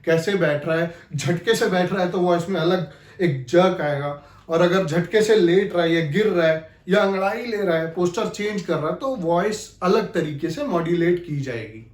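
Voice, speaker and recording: A man's voice: sits high, echoey room. A man giving an instructional talk as an acting coach, explaining emphatically. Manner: explanatory, emphatic, repeats key phrases to demonstrate.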